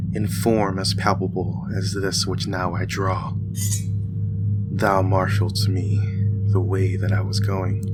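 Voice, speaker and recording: A man playing a haunted, brooding nobleman in an amateur Shakespearean dramatic reading, speaking with slow, deliberate intensity. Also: deep voice. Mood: haunted, hushed. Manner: slow, deliberate, intense, breathy whispers at times. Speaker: amateur performer in a library reading. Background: dramatic music.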